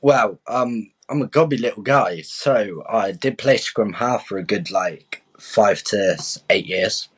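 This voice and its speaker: Young male guest, low register, dry close mic, candid and talkative. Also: a little slow, few pauses, fairly bright tone, husky, no room sound. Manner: open, frank, chatty, restarts with "I'll be honest". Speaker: guest boxer. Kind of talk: candid podcast interview.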